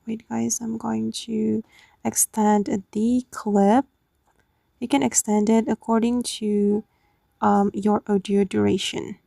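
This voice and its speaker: A woman's voice: fairly high pitch, level tone, slow pace, gravelly, mellow, no echo, clean studio sound. A woman hosting a casual step-by-step app tutorial, explaining it off the cuff in a slightly hesitant way. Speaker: tutorial host.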